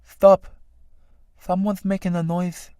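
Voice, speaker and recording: A woman voicing callous, gossiping snails in a low, sly, gloating mutter. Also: flat delivery, slow pace, mellow, no echo, clean studio sound.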